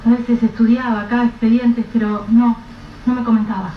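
A woman's voice: fairly high pitch, little pitch movement, normal speed, natural voice. A woman playing a distraught young mother whose husband has vanished, snapping and swearing in bursts. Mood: pleading. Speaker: lead character. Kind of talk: radio drama acting. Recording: roomy, dull, noisy radio-play recording.

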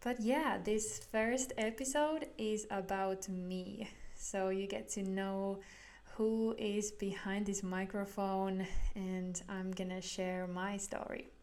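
A young Finnish woman in her twenties talks through her solo podcast at an unhurried pace. Her voice is soft and mellow, and she speaks with a Finnish accent. She is earnest and often circles back to restart a phrase as she searches for her words. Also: medium pitch, steady tone. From Finland. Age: 20s. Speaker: podcast host.

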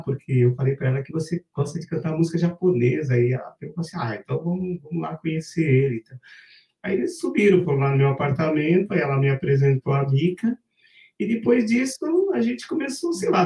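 A man's voice: a little high, lively intonation, brisk, mellow, recorded from a distance. A man casually telling the story of how he met his wife, rambling and tagging his sentences with "né?". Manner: rambling, frequent "né?" tags. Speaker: interview guest, singer. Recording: roomy, from off-mic.

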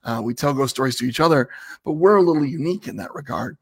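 Slightly raspy man chatting on a livestream. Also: mid-range voice, lively intonation, fast talker. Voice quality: a little raspy. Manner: conversational, rambling, asks viewers questions. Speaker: livestream host. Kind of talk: casual livestream talk.

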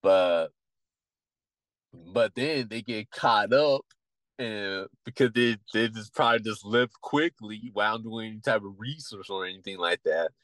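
A man with a somewhat low voice talks on a casual podcast. He speaks haltingly and often repeats a word before he finishes his thought. The sound is dry and close. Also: a bit bright, dry recording, rough recording. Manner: halting, repeats words while thinking. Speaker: podcast co-host. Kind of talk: casual chat.

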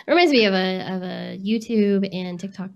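A woman with a medium-pitched voice co-hosts an interview podcast. She is from Colorado and talks in an upbeat, chatty way, often laughing along. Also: gravelly, clear, resonant tone, muffled recording. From Colorado, United States. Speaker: podcast co-host. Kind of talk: casual interview chat.

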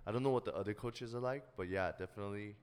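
Young dude, lowish smooth voice, says like and you know a lot, echoey room. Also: male voice, racing, a little mellow. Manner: slangy, filler-heavy, says "dude" and "you know what I mean". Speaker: podcast co-host. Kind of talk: casual podcast chat.

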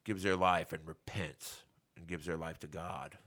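A man's voice: very deep voice, fairly even intonation, a little slow, a bit bright. A man co-hosting a faith podcast, talking in an earnest, preachy way about mercy and church. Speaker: podcast co-host. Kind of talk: casual faith podcast chat.

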